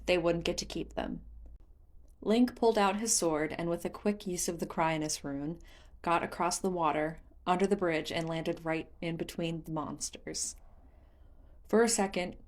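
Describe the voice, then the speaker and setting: A woman's voice: on the low side, steady tone, normal speed. A woman reading scripted story narration as the narrator of a fan fiction audiobook.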